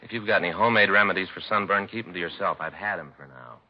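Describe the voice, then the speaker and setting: Radio play detective's partner: American, deep-toned, complaining family man, folksy and rambling, muffled old recording. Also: fast talker. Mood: miserable, grumbling. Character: grumbling, put-upon family-man police detective sidekick. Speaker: actor in radio drama. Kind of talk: 1950s radio acting, conversational dialogue. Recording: dull vintage radio recording, faint noise.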